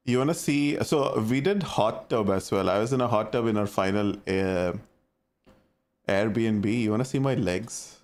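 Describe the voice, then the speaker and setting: A man's voice: on the low side, measured pace, darkish tone. A young man from India with an Indian accent, chatting casually with his live-stream viewers and reacting to their messages.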